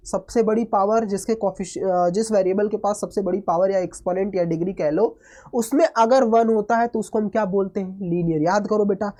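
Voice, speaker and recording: A man teaching an online maths lesson, hoarse from a cold, energetic, mixing Hindi with English phrases and constantly checking in with students. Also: sits high. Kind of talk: online exam-prep lesson.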